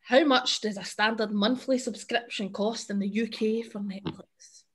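A woman's voice: medium pitch, steady tone, moderate pace, fairly bright tone, slightly rough. A young Scottish woman hosting a casual podcast and quiz over a video call, bubbly and chatty, laughing often. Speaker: podcast host.

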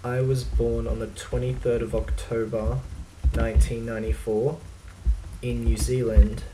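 A young man with an Australian accent, talking casually to his webcam in a dry, deadpan, self-mocking way while answering a list of questions. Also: mid-range voice, measured pace, noisy background. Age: young adult. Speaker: vlogger. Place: small indoor room.

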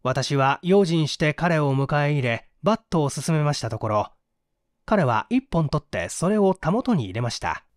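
A man narrating a mystery story as a first-person confession, in a measured, formal literary delivery. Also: on the high side, lively intonation, dry recording, studio quality. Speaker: audiobook narrator.

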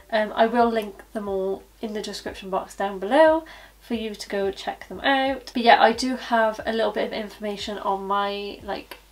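Croaky-voiced woman doing a makeup review. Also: mid-range voice, poor audio. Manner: chatty, repeats fillers like obviously and so yeah. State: croaky from hay fever. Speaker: beauty vlogger and cosmetics consultant. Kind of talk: casual product review to camera. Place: small indoor studio with plain backdrop. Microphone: camera at head-and-shoulders framing.

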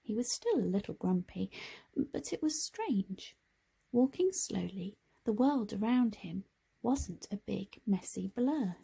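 A woman narrating a children's picture book, expressive and deliberate in her phrasing. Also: medium pitch, gravelly, husky. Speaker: storybook reader. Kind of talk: scripted children's story narration.

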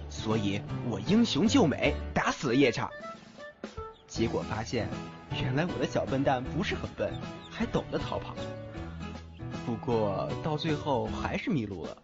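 An affectionate, boastful ghost keeper acted by a man teases and coaxes in an audio drama. His intonation is very lively, and the dull recording has music clearly behind it. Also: mid-range voice, close to an everyday voice. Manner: playful, coaxing. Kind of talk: Chinese audio drama acting.

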